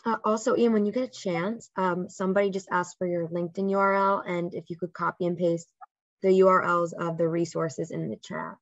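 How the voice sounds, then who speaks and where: A woman's voice: fairly low pitch, steady tone, fairly quick, no room sound. A woman moderating an online session with casual, practical talk. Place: online video call. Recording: dull lo-fi call audio, dry.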